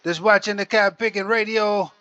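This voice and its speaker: Male CB operator, high sharp voice, showy rhythmic patter and radio slang, slightly dull lo-fi sound. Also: steady tone, normal speed, smooth. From Jamaica. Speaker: CB radio operator taking calls. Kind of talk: CB radio chatter in trucker slang.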